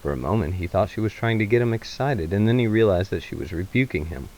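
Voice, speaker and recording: A man's voice: low voice, animated, fast talker, a little mellow. A man reading a story aloud as narrator, in a dramatic literary style. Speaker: narrator, reader.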